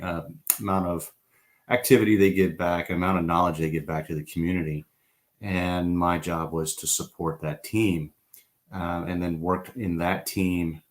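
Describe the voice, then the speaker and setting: Halting deep-voiced man on a call. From East Coast United States. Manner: unhurried, halting, many fillers and restarts. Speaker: interview guest. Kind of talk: online interview, telling his career story. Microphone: video call.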